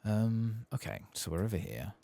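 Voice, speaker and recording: A soft-spoken man quietly commentating a video game in ASMR style, murmuring as if to himself. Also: fairly low pitch, big pitch swings, normal speed, vocal fry, dry recording. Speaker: ASMR gaming streamer.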